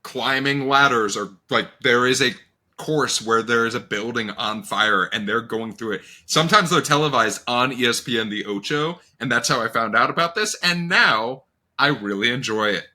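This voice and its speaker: A man hosting a casual, comedic podcast in an animated, informal and sometimes profane way. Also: medium pitch, normal speed. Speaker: podcast host.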